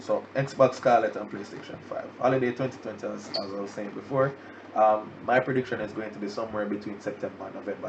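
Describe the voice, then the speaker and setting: A man's voice: on the low side, gravelly. A man with a Jamaican accent hosting a casual solo livestream podcast, nasal and congested with the flu, rambling loosely with many fillers and restarts. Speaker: podcast host. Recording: dull lo-fi stream audio with background noise.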